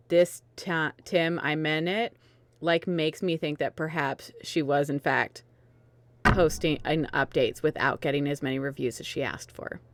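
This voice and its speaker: A woman's voice: sits low, no echo. A woman narrating a dramatic reading of fan fiction, with some commentary of her own.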